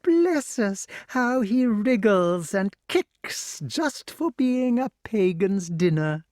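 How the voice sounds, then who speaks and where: A storyteller voicing a gloating old witch, crooning with mock sweetness and menace in exclamatory, drawn-out words. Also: medium pitch, sing-song, a little mellow, dry recording, clean studio sound, pitched above the performer's own voice. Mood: gloating relish.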